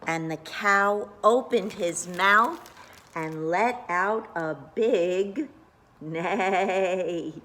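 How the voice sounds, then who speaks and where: A children's librarian, a woman, reading a picture book aloud to young listeners, playfully pausing before each animal sound and asking them questions. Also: lowish voice, a bit bright, slight background noise.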